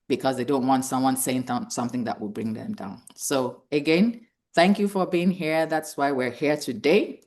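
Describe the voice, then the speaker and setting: A woman in her fifties giving a motivational awareness talk, earnest and encouraging, repeating key phrases for emphasis. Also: extremely low pitch. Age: 50s. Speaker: speaker hosting a cancer awareness event.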